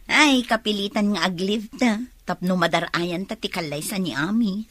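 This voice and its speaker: A woman's voice: medium pitch, slow pace, a bit bright, dry recording. An actress playing an opinionated woman in a family radio drama, with a Filipino Ilocano accent. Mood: teasing.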